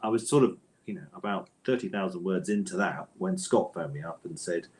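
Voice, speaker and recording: Man, low voice, author interview, conversational, discursive, repeated words and self-interruptions. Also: brisk, darkish tone.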